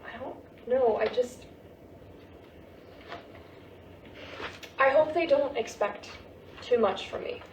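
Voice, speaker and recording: Woman as prickly teen daughter in a stage play, high lively voice, exasperated sarcasm, roomy sound. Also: moderate pace, natural voice. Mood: anxious. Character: prickly, sarcastic half-Japanese American teenage daughter. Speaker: actor in a staged reading. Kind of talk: naturalistic stage play reading. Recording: roomy, echoing, faint background noise.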